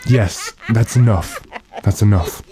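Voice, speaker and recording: A man playing a resolute, impatient gentleman master in old-time radio drama, giving commanding, clipped instructions. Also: lowish voice, slow, background noise. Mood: irritated.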